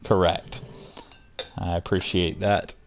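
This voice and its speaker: A man with a very deep voice hosts a live game stream. He talks casually and deliberately, bantering with friends and addressing viewers as he goes. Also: level tone, slight background noise. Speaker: host of a live gaming stream. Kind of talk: casual gameplay chat, reading viewer comments aloud.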